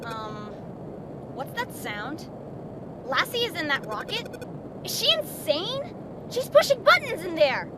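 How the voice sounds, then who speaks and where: A woman voicing a curious, hesitant young schoolgirl adventurer in a game fan dub, questioning and expressive. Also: takes it slowly, pauses often, full character voice. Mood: surprised, worried. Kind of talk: scripted fan dub acting. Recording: rumbling noisy home recording.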